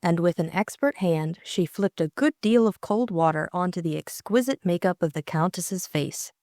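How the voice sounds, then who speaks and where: A woman's voice: fairly low pitch, normal speed, a little mellow, no echo, clean studio sound. A woman reading a story aloud as an audiobook narrator.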